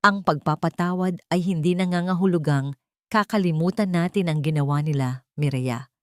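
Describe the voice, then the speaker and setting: A calm, forgiving older brother voiced by a woman narrator speaks in a low, measured voice. He sounds solemn and wise, in a dry storybook drama recording. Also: no echo, close to an everyday voice. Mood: solemn, resolved.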